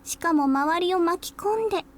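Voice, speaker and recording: A woman playing a cheerful, eccentric, teasing high school girl in a Japanese visual novel, speaking politely. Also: fast. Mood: calm, unsettling.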